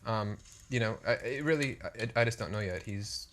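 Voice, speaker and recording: A man's voice: lowish voice, moderate pace, a bit bright, a little hiss or hum. A man casually commenting on a strategy game he is playing, rambling and self-deprecating. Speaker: co-host playing the match.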